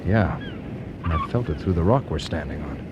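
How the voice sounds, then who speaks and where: A young science student in a radio play. His low voice swings up and down in an animated, folksy way, dryly joking yet eager. Clear background noise sits behind him, like an outdoor scene. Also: normal speed, muffled recording. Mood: intrigued. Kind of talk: radio drama acting.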